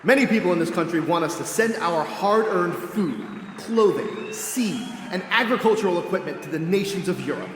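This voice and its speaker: A man's voice: high-pitched, expressive, moderate pace, hardly any pauses. A man playing a haughty, brusque costumed hero turned demagogue in the oratorical style of a 1940s radio serial. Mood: pompous, rousing. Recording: echoey hall reverb, faint noise.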